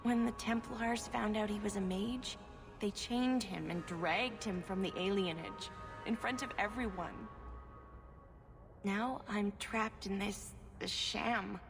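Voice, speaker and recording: An actress playing a timid, sad elven seamstress in a video game, speaking hesitantly and deferentially. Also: female voice, mid-range voice, takes it slowly, over music. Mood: sorrowful, fearful. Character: timid, sorrowful elven seamstress, polite abandoned wife.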